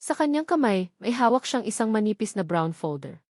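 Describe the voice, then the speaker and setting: A woman's voice: mid-range voice, sing-song, fairly quick, a little mellow, no room sound, clean studio sound. A woman with a Filipino accent reading a scripted love story as a dramatic narrator.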